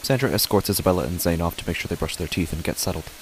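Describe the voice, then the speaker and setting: Guy acting as a dad telling a horror story, really low voice, measured phrases, bit of hiss. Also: lightly acted. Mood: sombre, tense. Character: father narrating his family's uneasy stormy night. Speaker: narrator. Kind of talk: first-person horror story narration. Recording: faint hiss behind the voice.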